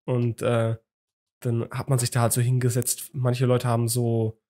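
A man's voice: mid-range voice, completely flat delivery, a little slow, mellow. A young man hosting a podcast from a small home room into a studio mic, chatting casually and rambling with lots of fillers and restarts. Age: young adult. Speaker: podcast host. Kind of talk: casual chat. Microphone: studio mic on a boom arm, video call.

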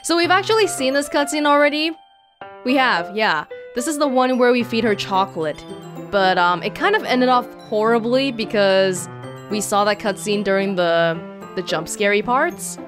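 Female let's play host, higher-pitched, expressive reader of visual novel text, soft music behind. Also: normal speed. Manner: expressive, acting out dialogue. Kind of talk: reading a visual novel aloud with reactions. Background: soft game music with guitar.

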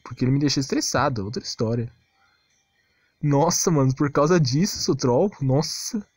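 A man's voice: medium pitch, moderate pace, a little mellow, husky, no echo. A Brazilian man ranting casually and unscripted as a gaming video creator, defensive and exasperated, on a close, cheap-sounding mic. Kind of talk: casual unscripted rant over game footage.

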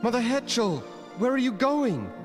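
Game hero: plaintive young male apprentice, very animated pitch, prominent music underneath. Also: measured pace, full character voice. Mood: worried, questioning. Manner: earnest, plaintive. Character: naive, bewildered young male weaver apprentice. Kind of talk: theatrical game voice acting.

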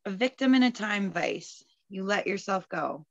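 Open mic poet: woman with a creaky, mid-pitched voice, dull lo-fi call audio. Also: expressive, moderate pace, no echo. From Alberta, Canada. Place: indoors at home, video call.

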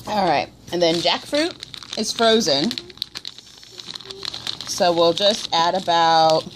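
A woman's voice: on the low side, takes it slowly, background noise. A woman filming a casual cooking video at home, patiently encouraging and instructing her small child step by step. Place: indoor home room at a table.

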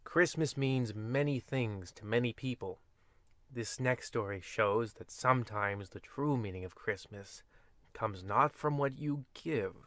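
A man playing a portentous, easily flustered narrator of a comic old-time radio show, with halting delivery. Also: medium pitch, a bit bright, no room sound, clean studio sound. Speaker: narrator and host.

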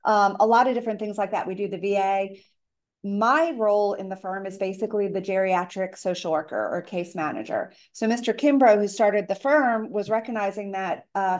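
A woman presenting an informal seminar in a casual, chatty way, her voice raspy from a cold. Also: medium pitch, darkish tone. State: tail end of a cold. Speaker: presenter, elder care coordinator.

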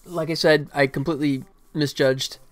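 A man's voice: fairly high pitch, steady tone, moderate pace, soft background music. A middle-aged man chatting casually on a game developer livestream, rambling and jokey in a self-deprecating way, speaking into a desktop mic indoors. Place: indoor room at a computer. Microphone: desktop microphone.

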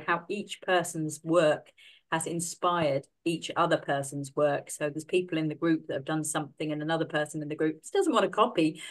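A middle-aged woman hosting an online exhibition opening, gently guiding her audience with frequent fillers. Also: deep voice. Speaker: mentor and event host.

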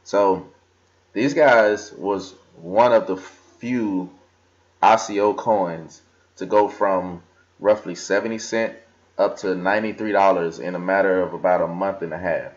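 A man's voice: sits low, steady tone, a little slow. A young man casually talking through a screen recording, with many fillers and restarts as he scrolls and reads aloud. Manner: casual, filler-heavy, talks while scrolling and reading aloud. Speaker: crypto vlogger. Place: indoors at a desk. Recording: slightly dull lo-fi computer audio.

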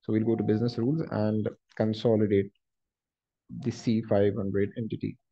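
A man working as a software trainer, explaining a screen-share tutorial step by step in a halting, explanatory way. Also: lowish voice, flat delivery, husky. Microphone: screen-recording voice-over. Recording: dull lo-fi voice recording.

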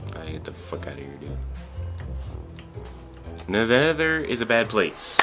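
A young man bantering casually with friends during gameplay, excitable, slangy and quick to swear. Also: lowish voice, over music. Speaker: gaming video creator.

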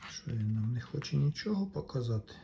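Mellow-voiced man narrating a repair. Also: medium pitch, animated, creaky, lo-fi sound, slight background noise. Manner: unhurried, talks along while working, addresses viewers. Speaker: electronics repair video maker. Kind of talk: casual hands-on repair commentary.

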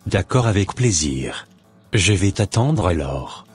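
An actor playing a polite, scheming young evangelist in a cartoon drama, speaking in measured, formal phrases. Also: male voice, sits low, sing-song, breathy edge, faint music behind, heavily acted.

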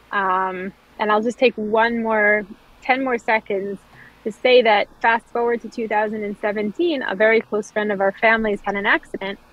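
A woman's voice: medium pitch, lo-fi sound. A woman in her thirties from Israel, a startup founder telling her story in an interview over a video call, fluent with frequent ums and restarts. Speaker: startup founder and CEO, guest.

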